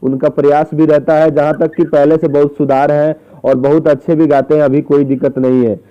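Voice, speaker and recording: Guy interviewing over the phone, highish voice, pushy questions, dull muffled sound. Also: flat delivery, a little fast, smooth. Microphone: phone call.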